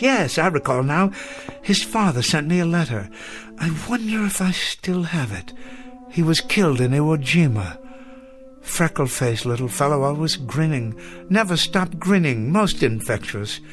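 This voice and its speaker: A man's voice: on the high side, big pitch swings, unhurried, faint music behind. An actor playing a melancholy old male schoolteacher in a radio drama, with wistful, eloquent and formal old-fashioned phrasing. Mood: wistful reminiscing. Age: older. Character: weary, melancholy old male schoolteacher, bookish and self-reproaching. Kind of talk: dramatic radio play acting.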